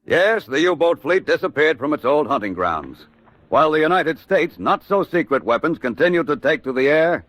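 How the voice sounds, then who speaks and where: An actor playing a solemn, questioning narrator in a wartime documentary radio drama. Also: male voice, a little high, sing-song, moderate pace, a bit bright. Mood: pointed, wry.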